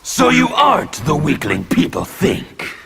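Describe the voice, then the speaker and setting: An actor playing a menacing male anarchist warrior in a video game, with scornful, threatening delivery and a gravelly voice. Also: a little high, huge pitch swings, a little slow, heavy background noise. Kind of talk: video game intro dialogue.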